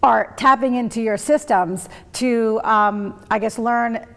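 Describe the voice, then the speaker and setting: Gravelly-voiced woman interview host. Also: fairly high pitch, fairly even intonation, slow. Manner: rambling questions, frequent backchannel. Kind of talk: interview hosting.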